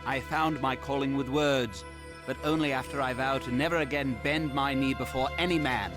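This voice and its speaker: A man's voice: medium pitch, steady tone, moderate pace, music in the background, natural voice. A man playing a proud, principled revolutionary pamphleteer in a cartoon, earnest and declamatory. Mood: proud, defiant.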